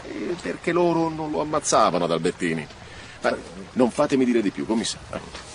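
An actor playing a wry, cautious, grumbling police marshal, sidekick to a commissioner in a radio drama. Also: male voice, mid-range voice, sing-song, fairly quick, vocal fry. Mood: cautious, uneasy. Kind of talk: expressive radio drama acting. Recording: noisy radio drama, street ambience.

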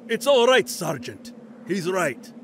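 An actor playing a proud, zealous leader of exiles in a video game, earnest and urgent in a declamatory way. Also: male voice, sits high, very animated, takes it slowly, creaky, a little hiss or hum, full character voice. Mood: earnest conviction. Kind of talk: dramatic video game cutscene acting.

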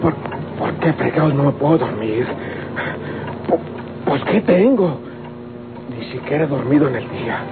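An actor playing a restless, frightened Mexican rancher who stammers and mutters to himself in old radio drama style. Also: male voice, medium pitch, animated, normal speed, long pauses, very noisy, natural voice. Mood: fretful, weary. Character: restless, frightened rancher tormented by sleeplessness and nightmares. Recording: tinny old radio recording, loud music.

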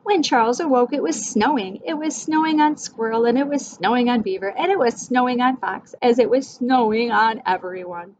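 A middle-aged woman teacher reading a storybook aloud to young children, expressive and addressing them directly. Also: fairly high pitch, normal speed, few pauses. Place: small indoor room with whiteboard. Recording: dull sound, faint background noise.

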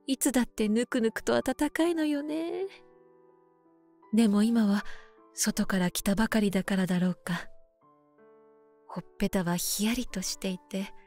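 A woman playing a frail, gentle elderly mother in a voice drama, speaking softly and tenderly as she reminisces. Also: medium pitch, close to an everyday voice. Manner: soft, tender, unhurried. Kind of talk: voice drama acting and first-person narration.

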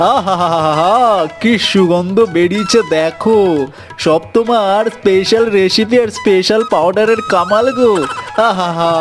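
A man playing an eager, naive young villager in a cartoon, excitable and repeating his phrases, with exaggerated acting. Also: high voice, lightly acted.

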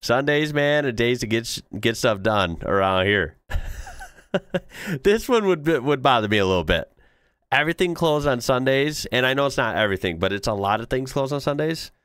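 A man's voice: medium pitch, expressive, moderate pace, fairly bright tone, clear, resonant tone, dry recording. An American man in his thirties hosting a casual reaction video from a small home studio, talking in loose, easygoing asides into a close mic with a headset on. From United States. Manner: easygoing, chuckling, talks in loose run-on asides. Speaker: reaction channel host. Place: small home studio room with decorated walls.

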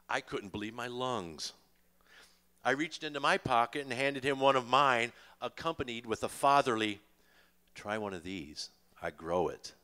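An older man with an American accent reading his memoir live on stage, with expressive storytelling and dramatic pauses. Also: mid-range voice. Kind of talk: live book reading to an audience. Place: stage in a small dim music venue. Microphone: vocal mic on a boom stand, close.

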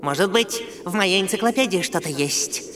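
A man's voice: high-pitched, expressive, normal speed, crowd noise. A man voicing a small, dramatic, bookish monkey in a dubbed children's cartoon, theatrical and exclamatory. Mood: pondering, worried. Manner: exclamatory, theatrical, self-talking. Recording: music under the voice.

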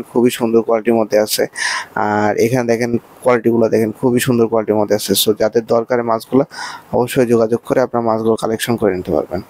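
Man, Bangladeshi accent, very monotone, gravelly creak, shop-tour presenter, repetitive sales patter. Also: medium pitch. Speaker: presenter showing fish for sale. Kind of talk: promotional shop-tour commentary.

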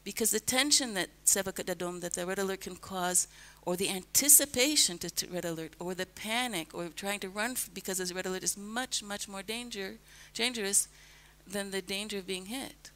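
An older woman from the United States answering questions in a calm, measured, earnest way on a radio interview, speaking into a headset mic in a broadcast booth. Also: mid-range voice, low-quality recording. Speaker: guest, resident.

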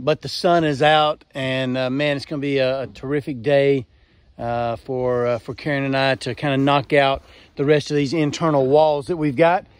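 A man's voice: a little high, smooth, no room sound. A man in his forties with a Southern US accent, vlogging casually from his car and a building site, rambling with lots of fillers. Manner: folksy, rambling with many fillers. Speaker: homestead vlogger. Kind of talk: casual vlog talk to camera. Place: in a moving car, then outdoors at a framed cabin site. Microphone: phone or camera held at arm's length. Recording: loud wind and road noise.

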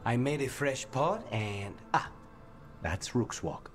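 A man's voice: mid-range voice, expressive, soft background music. A male actor playing an awkward, earnest assassin in a video game, speaking hesitantly. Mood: shy, tender. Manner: halting, hesitant.

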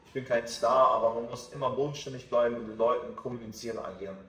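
A man in his twenties, a DJ, talking about his music in a relaxed, self-assured way during a casual interview. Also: mid-range voice, fast, recorded from a distance. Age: 20s. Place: small backstage room with sofa, indoors. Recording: echoey room, voice off-mic.

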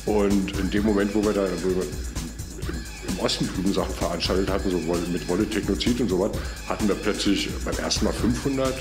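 A man's voice: low-pitched, fairly quick. A man giving a spontaneous, conversational interview recollection. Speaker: interviewee recalling the techno scene. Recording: echoey room under loud music.

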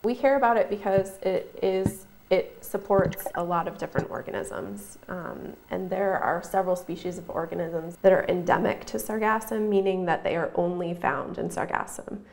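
A woman's voice: lowish voice, moderate pace, vocal fry, few pauses, darkish tone. A middle-aged woman marine scientist explaining her research in an interview, thoughtful, with a few fillers. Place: office.

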